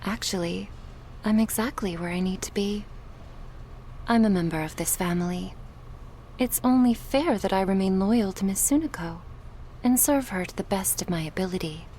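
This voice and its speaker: A woman acting confrontational women in a vampire crime syndicate roleplay, speaking deliberately in a dramatic audio style. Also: mid-range voice, mellow, a little hiss or hum, close to an everyday voice. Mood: defiant.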